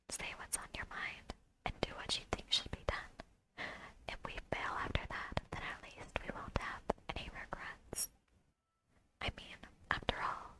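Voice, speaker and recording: Woman whispering in breathy ASMR style. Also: pushed and bright, rough recording. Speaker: ASMR creator reading a video game story aloud. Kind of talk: whispered ASMR read-aloud of game dialogue.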